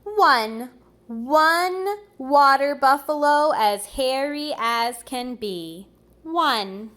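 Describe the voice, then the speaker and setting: A woman reading picture books aloud to young children in an expressive, deliberate way, with little asides. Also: high voice. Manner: slow, deliberate, expressive, pausing over words for young listeners. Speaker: preschool teacher reading aloud.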